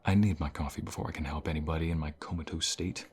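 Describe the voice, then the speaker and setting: A man playing a caring, encouraging college professor in an intimate ASMR role play, speaking softly in a gentle, unhurried, reassuring way. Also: a bass voice, fairly even intonation, slight background noise. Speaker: voice actor in an audio role play.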